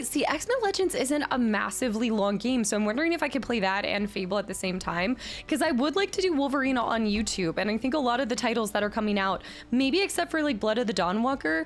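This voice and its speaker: A woman's voice: on the high side, quick pace, few pauses. A young adult woman streaming, chatting casually with her viewers and reading their names and gifts aloud. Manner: animated, chatty, reads chat names aloud. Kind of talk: casual chat with live chat.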